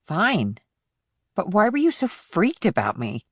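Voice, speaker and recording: Woman playing a sarcastic, streetwise teenage girl, low-pitched. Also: wildly swooping pitch, a little slow, breathy edge, no room sound, studio quality, heavily acted. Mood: guarded. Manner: flippant, sarcastic. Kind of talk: audiobook character dialogue.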